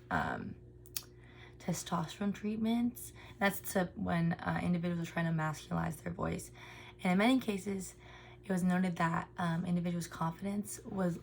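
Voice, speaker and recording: A woman's voice: on the low side, vocal fry, slight background noise. A young woman in her twenties presenting a speech therapy lesson in an earnest, informal way, with frequent ums.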